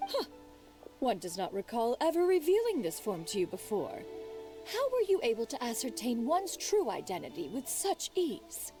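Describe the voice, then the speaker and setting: A woman's voice: on the high side, huge pitch swings, moderate pace, warm tone, recorded from a distance, faint music behind. A woman playing a proud immortal master in human form in a video game, speaking pompously and formally. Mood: stately, curious. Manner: pompous, formal, refers to self as one. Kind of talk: video game character dialogue.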